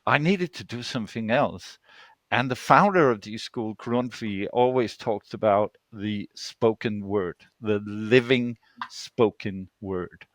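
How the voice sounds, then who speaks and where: An older man from Denmark with a Danish accent, telling personal stories in conversation and pausing to search for words on an online call. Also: fairly low pitch, no echo. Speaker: guest storyteller.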